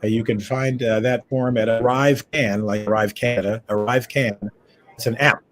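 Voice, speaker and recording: A man's voice: medium pitch, fairly even intonation, normal speed, a bit bright. A man with a head cold and a froggy voice, hosting a casual travel news chat from home over a video call. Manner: chatty, rambling with fillers. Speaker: host. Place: his home.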